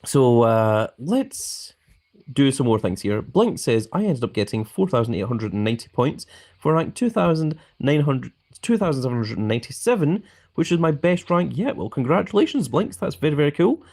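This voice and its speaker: Man, young adult, live game streamer, friendly, chatty, reads chat aloud, mid-pitched voice. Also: sing-song, normal speed, a little mellow. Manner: chatty and friendly, with frequent ums and uhs. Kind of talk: casual live-stream chat, reading viewer comments.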